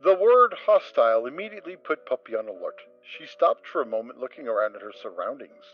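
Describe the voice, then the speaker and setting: A man with a mid-range voice narrating a story in a steady, expressive way. The audio is a bit muffled. Soft music plays underneath. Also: medium pitch, brisk. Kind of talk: dramatic reading of fan fiction.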